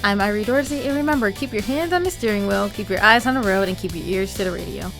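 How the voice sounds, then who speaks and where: A woman's voice: mid-range voice, fast talker. A young adult woman hosting a radio show in a casual, scripted style. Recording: faint music bed under the voice.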